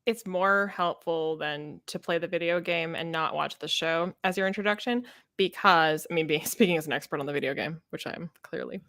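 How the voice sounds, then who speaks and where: A woman with a somewhat low voice and a dry, echo-free sound. She talks as a podcast co-host in casual book discussion. Her delivery is opinionated and emphatic. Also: brisk, fairly bright tone.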